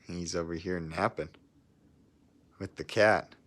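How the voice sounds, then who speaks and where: Low-voiced man reading a children's storybook. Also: slow. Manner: expressive, with asides to listeners. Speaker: storybook reader. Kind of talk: children's picture book read-aloud.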